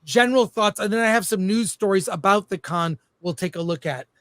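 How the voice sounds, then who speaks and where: A man's voice: high voice, a little fast, fairly bright tone, no echo. A middle-aged man hosting a casual panel talk, putting questions to his guests. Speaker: show host.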